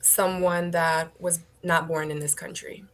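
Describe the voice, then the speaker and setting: Lady in her 30s, korean first language, grew up in the us, low-ish voice, lots of ums, chatting as a guest. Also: level tone, unhurried, fairly bright tone. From born in South Korea, raised in the United States. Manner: hesitant, many ums, laughs easily. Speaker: interview guest. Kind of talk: casual interview conversation.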